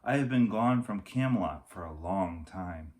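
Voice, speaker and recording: A man voicing a gentle, wise enchantress in a storybook read-aloud. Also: low-pitched, expressive, moderate pace. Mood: regretful.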